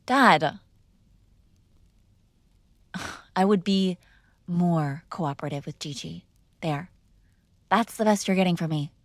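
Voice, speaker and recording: A woman's voice: lowish voice, slow pace, long pauses, no echo. Exasperated young woman, dry close voice. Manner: exasperated, sarcastic and defiant. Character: bratty mob boss's daughter arguing with her father. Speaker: audio roleplay performer. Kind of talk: scripted ASMR girlfriend roleplay.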